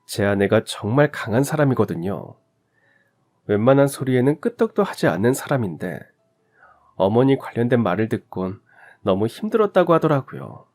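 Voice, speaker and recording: A man narrating a scripted family story from the husband's point of view. His delivery is calm and sympathetic, at a medium pitch typical for a man. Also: normal speed.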